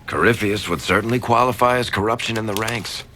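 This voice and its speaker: A man's voice: lowish voice, brisk, sharp tone, a little hiss or hum. An actor playing a worldly male companion in video game dialogue. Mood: serious.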